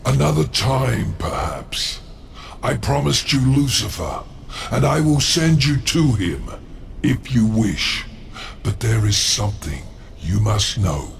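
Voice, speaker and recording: An actor playing a sly, scheming demon lord in a video game, with a smug, deliberate, theatrical delivery. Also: male voice, mid-range voice, sing-song, gravelly, slight background noise, heavily acted. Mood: smug, defiant, then solemn, knowing.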